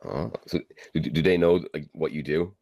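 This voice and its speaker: Really deep voiced guy hosting a chat on a video call, laid back, dry sound. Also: moderate pace, vocal fry, dry recording. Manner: relaxed, friendly questions with fillers. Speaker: podcast host. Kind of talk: casual interview chat.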